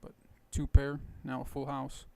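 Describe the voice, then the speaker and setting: A man with a rough, hoarse voice casually explaining his poker plays while thinking aloud. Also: mid-range voice, monotone, measured pace, warm tone. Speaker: poker video host. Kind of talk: casual poker commentary over gameplay.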